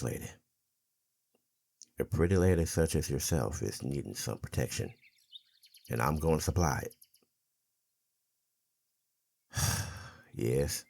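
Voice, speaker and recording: An actor playing an older small-town mountain man, a haunted but gentlemanly storyteller in an intimate ASMR roleplay, speaking in halting, folksy phrases with a gravelly, husky voice. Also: a bass voice, heavily acted. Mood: gruff, protective.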